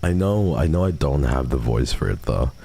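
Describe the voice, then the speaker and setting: A man's voice: a bass voice, lively intonation, moderate pace, creaky, warm tone, breathy edge. A man hosting a livestream, chatting casually and answering viewer messages at a close microphone. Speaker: livestream host. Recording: faint background noise.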